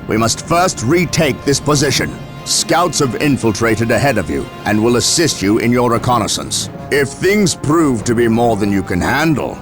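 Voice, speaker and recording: An actor playing a grave, authoritative space marine commander in a video game, speaking in a solemn, measured way. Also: male voice, medium pitch, natural voice. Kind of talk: video game cutscene and mission voice acting. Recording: dramatic music behind.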